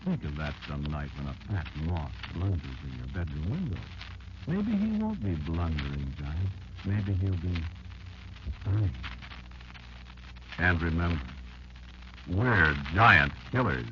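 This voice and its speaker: An actor playing a menacing, gloating insect enemy of humankind in an old radio drama, with a taunting, deliberate delivery. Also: male voice, low voice, big pitch swings, exaggerated voice. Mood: taunting menace. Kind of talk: 1940s radio drama monologue. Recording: muffled, very noisy old radio recording.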